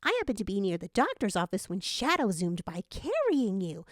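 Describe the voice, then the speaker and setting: A woman's voice: mid-range voice, wildly swooping pitch, moderate pace, no room sound, studio quality. A woman playing a chipper, giggly, chatty cartoon girl in a story.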